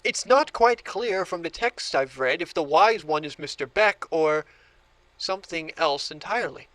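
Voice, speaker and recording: An actor playing an obsessive book collector who grows entranced, in a horror audio drama. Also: male voice, a little high, expressive, moderate pace, a bit bright, no echo, low-quality recording. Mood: intrigued, obsessive. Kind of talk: audio drama monologue and dialogue.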